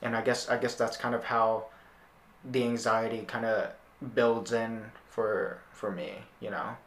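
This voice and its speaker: Casual young male interviewer, hesitant and repetitive, medium pitch, noticeable room echo. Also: level tone, a bit bright. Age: young adult. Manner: halting, repeats words while thinking. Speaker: podcast host. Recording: roomy, echoing sound.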